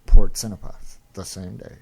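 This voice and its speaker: A man's voice: sits low, steady tone, normal speed, vocal fry, mellow, slightly rough. A man reading a comic book story aloud as narrator, stumbling over unfamiliar names, in a slightly noisy recording.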